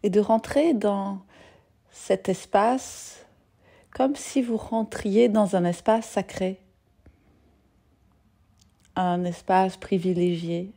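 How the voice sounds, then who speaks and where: Very slow, mellow woman guiding meditation. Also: medium pitch, steady tone. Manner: gentle, soothing, soft-spoken with long pauses. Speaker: yoga nidra teacher. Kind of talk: guided meditation.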